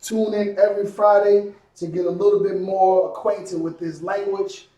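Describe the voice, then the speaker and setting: A man's voice: high voice, level tone, mellow. Male teacher in an echoey room. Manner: repeats words slowly for drilling, frequent "you understand?". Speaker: Hebrew language teacher. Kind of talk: classroom lesson, call and response. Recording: echoey room, mic far off.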